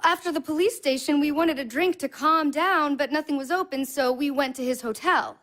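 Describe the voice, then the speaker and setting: A woman playing an anxious, wounded young woman in a naturalistic audio drama, halting and confessional. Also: high-pitched, a bit bright. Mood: embarrassed, pleading. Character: anxious, wounded, intense young woman, self-loathing and lonely.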